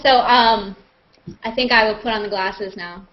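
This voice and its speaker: A woman's voice: mid-range voice, fairly even intonation, normal speed. A woman casually hosting a live group chat over a web video call, with a playful manner. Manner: playful, prone to laughing. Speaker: host of a live online hangout. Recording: dull, noisy web call audio.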